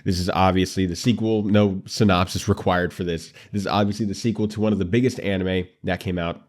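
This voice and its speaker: A man's voice: low-pitched, fairly even intonation, a little fast. A man hosting a casual anime commentary show, congested and nasal from allergies, with a dry throat, chatting straight to his viewers. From United States.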